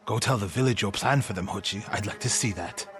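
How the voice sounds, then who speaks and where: An actor playing a solemn, earnest warrior monk of feudal Japan, grieving, in a dramatic video game cutscene. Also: male voice, on the low side, a little fast, close to an everyday voice. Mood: tense, resolute. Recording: background music under the dialogue.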